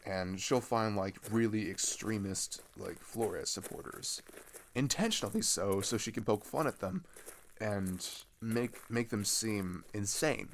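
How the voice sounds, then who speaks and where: A man's voice: lowish voice, measured pace, a little hiss or hum. A young man playing an earnest, frustrated teenage boy who rambles with hesitant restarts in a casual audio roleplay. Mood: frustrated, venting. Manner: rambling, exasperated, hesitant restarts and filler words. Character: earnest, frustrated teenage male migrant venting about politics.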